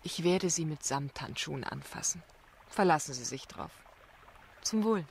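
Casting: young female barrister, lowish voice, shrewd, persistent, probing radio-drama delivery. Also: lively intonation, moderate pace, gravelly, slight background noise. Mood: intimate, thoughtful. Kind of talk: German radio drama acting.

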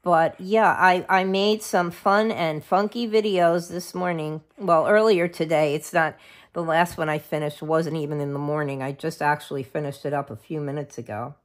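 A woman's voice: low-pitched, steady tone, normal speed. Middle-aged lady a bit scratchy from a cold, rambling through a craft how-to. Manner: chatty, self-interrupting, forgets names of supplies. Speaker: craft video host. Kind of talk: casual crafting tutorial.

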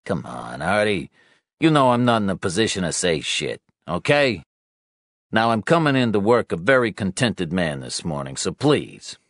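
Man acting a low, patient, world-weary detective. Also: big pitch swings, moderate pace, dry recording. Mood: dry, weary. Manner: low-key, dry, reassuring. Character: Joe, calm, patient, world-weary male police detective.

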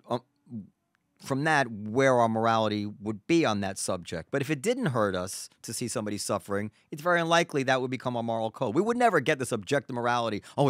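An earnest man who builds his argument step by step at an ordinary pitch. The sound is dry and close. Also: brisk, no echo. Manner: earnest, argues point by point. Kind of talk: casual panel discussion.

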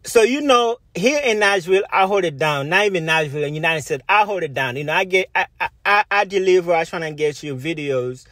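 A man's voice: high voice, lively intonation, a little fast, hardly any pauses, fairly bright tone, no room sound, studio quality. A man from Haiti, a video blogger giving rambling, informal commentary and often repeating himself. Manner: rambling, repetitive, filler-heavy.

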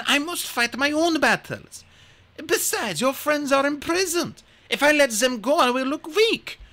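A woman voicing a proud, calculating Amazon queen in a storybook reading, speaking in a measured, commanding way. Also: sits high, bright, forceful tone, pitched above the performer's own voice, exaggerated voice. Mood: stern.

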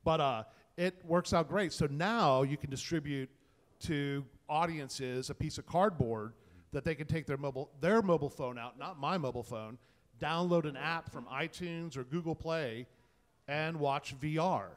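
A man's voice: a little high, normal speed. A man talking casually and enthusiastically as a panelist, in an informal, colloquial way. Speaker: panelist, tech content creator. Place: indoor event space before a small audience. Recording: reverberant room, panel event.

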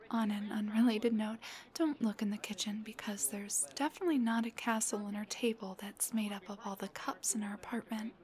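Casting: woman to play young girlfriend for ASMR roleplay. High, breathy, unhurried, cutesy, clingy and teasing. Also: lightly acted. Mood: sheepish, playful. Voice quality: soft, breathy, often near a whisper.

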